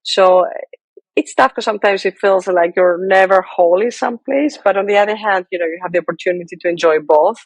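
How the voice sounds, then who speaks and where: A woman's voice: medium pitch, a little fast, no room sound, rough recording. A woman in her forties from Greece with a heavy Greek accent, answering a podcast host's questions earnestly, often restarting her sentences. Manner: earnest, with frequent restarts and fillers. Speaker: guest, political candidate.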